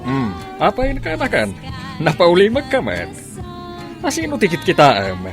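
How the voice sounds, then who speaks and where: A man acting a lively, lovestruck uncle courting a woman in an Ilocano radio drama. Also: sits high, normal speed, music in the background, heavily acted. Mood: playful, excited.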